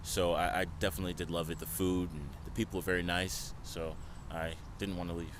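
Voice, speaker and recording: A man's voice: sits low, flat delivery, brisk. A man from the United States answering questions in a casual, emphatic street interview. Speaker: foreign interviewee. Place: outdoors on a city street. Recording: outdoor street with clear traffic noise.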